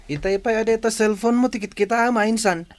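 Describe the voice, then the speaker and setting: A man's voice: sits high, a little fast, slight background noise, lightly acted. A man playing a cheeky, boastful young village schemer in a radio comedy drama, teasing and exclaiming.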